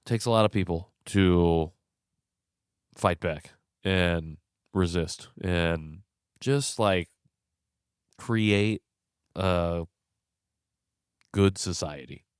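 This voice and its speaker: A man's voice: low voice, extremely slow, pauses often, smooth, no echo, studio quality. A young man hosting his own podcast, stuffed up from a cold, talking frankly and rambling a little in a tired way. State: stuffed up, congested. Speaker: podcast host. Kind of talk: casual solo commentary. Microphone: close podcast mic.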